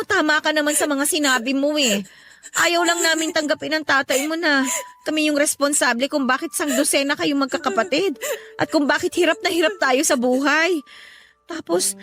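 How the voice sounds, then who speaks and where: A woman's voice: high-pitched, fairly quick, fairly bright tone. A woman playing a middle-aged mother of many children in a Filipino radio drama, blunt but remorseful, talking in a coaxing, colloquial way. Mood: apologetic, soothing. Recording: faint music under the voice.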